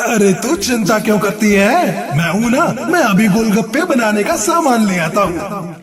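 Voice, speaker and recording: A woman voicing a greedy, bossy male ghost crow with a gruff, threatening manner in a children's cartoon story. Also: mid-range voice, sing-song, moderate pace, a crowd in the background, natural voice.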